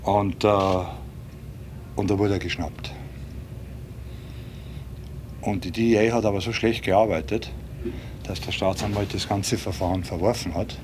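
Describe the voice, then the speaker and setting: A man's voice: lowish voice, fairly even intonation, moderate pace, darkish tone. A man being interviewed, telling a story casually and chattily. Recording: noisy recording.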